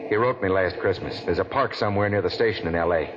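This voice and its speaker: A man's voice: sits low, lightly acted. Man acting easygoing hustler, noisy old radio. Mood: relaxed. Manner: laconic, unhurried. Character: easygoing, self-assured gambler-hustler back from army. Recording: noisy, dull vintage radio broadcast.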